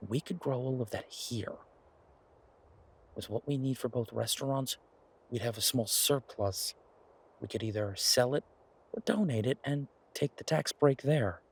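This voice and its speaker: Man acting as a husky, easygoing practical boyfriend. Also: mid-range voice. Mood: explanatory, frank. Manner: casual, thoughtful, explanatory, halting pauses. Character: male werewolf boyfriend, practical restaurateur pitching a farm plan. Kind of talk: roleplay audio drama, naturalistic one-sided conversation.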